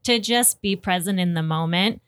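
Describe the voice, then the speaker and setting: A woman's voice: lowish voice, normal speed, fairly bright tone, smooth, dry recording, clean studio sound. A woman hosting a podcast, chatting casually and effusively in a conversational interview style. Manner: enthusiastic, effusive. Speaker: podcast host.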